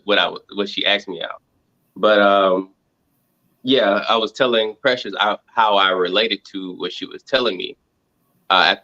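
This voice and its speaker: Low-fi, reflective man. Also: pushed and bright, highs cut off. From Montgomery, Alabama, United States. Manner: halting, thinking aloud. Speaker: reality show contestant interviewed as guest. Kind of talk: casual reflective interview answers.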